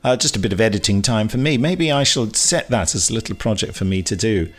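A man's voice: on the low side, expressive, quick pace. A middle-aged man hosting a podcast, chatting casually and reading out emails in an enthusiastic, rambling way. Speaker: podcast host.

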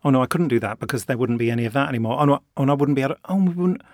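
A podcast host who talks fast and animatedly. His voice sits in a middle range. The recording is dry and close. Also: mid-range voice, no echo. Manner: animated, quick storytelling. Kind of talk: casual podcast chat.

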